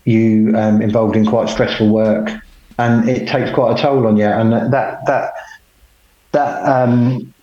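A middle-aged man, talking as a podcast guest from his home over a call, unhurried, plain-spoken and self-deprecating. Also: fairly low pitch, little pitch movement, dark tone. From England. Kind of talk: casual conversation, telling his life story. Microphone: remote call. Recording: roomy, dull remote call audio.

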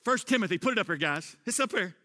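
A middle-aged pastor preaches in a high, bright voice that climbs into loud, hammering refrains. His sermon rings out in a church hall with a long, lingering reverb. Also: animated, fast. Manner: preaching with emphatic rises, loud repeated refrains. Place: church sanctuary.

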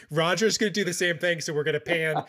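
A man's voice: a little high, a little fast, background noise. A man chatting casually with friends, rambling and chuckling as he lays out a plan. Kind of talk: casual banter.

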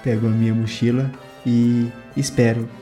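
A man playing a tabletop game, calmly narrating his boy character's morning in the first person. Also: medium pitch, moderate pace, over music. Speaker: tabletop player.

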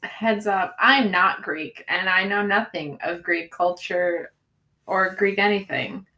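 A woman co-hosting a podcast who speaks slowly in a mid-pitched voice. She hesitates and restarts her sentences, pokes fun at herself, and laughs easily throughout the casual chat. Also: pushed and bright, low-quality recording. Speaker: podcast co-host.

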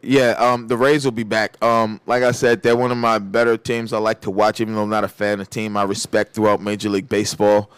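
A man's voice: fairly low pitch, level tone, fast, hardly any pauses, clean tone, dry recording. A man hosting a sports talk show, chatting informally and often dropping "man" and "like" into his sentences. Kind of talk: casual sports and pop culture chat.

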